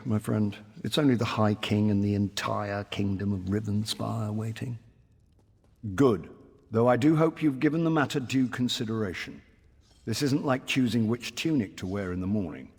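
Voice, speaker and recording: Fantasy game high king: low, crisp voice, weary and wry, measured delivery in a reverberant hall. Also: darkish tone. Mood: wry, expectant. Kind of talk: fantasy video game voice acting.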